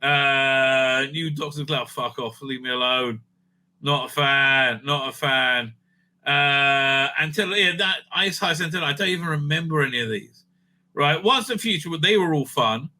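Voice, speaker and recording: Very bright-voiced man, blunt and opinionated. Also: mid-range voice, measured pace. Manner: blunt, opinionated, sweary, repeats phrases for emphasis. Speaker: host of a fan review show. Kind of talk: casual rambling review and ranking commentary.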